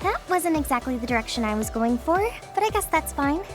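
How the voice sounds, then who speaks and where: A young female soldier from an anime game, with a high voice over background music. She sounds outgoing and casual, friendly with a slightly coy, teasing edge. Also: sing-song, a little fast. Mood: coy, probing.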